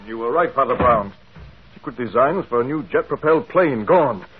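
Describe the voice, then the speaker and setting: A male actor playing a brisk, eager detective's sidekick in a radio mystery. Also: mid-range voice, animated, noisy background, natural voice. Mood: urgent, puzzled. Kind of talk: vintage radio mystery acting. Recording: tinny, narrow-band old radio recording.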